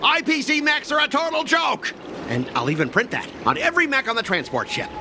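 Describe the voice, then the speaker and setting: A man's voice: very high-pitched, very animated, brisk, noisy background, exaggerated voice. An actor voicing a smug, mocking young mech salesman in a video game, with a sneering, theatrical manner. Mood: cocky. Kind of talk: video game cutscene acting.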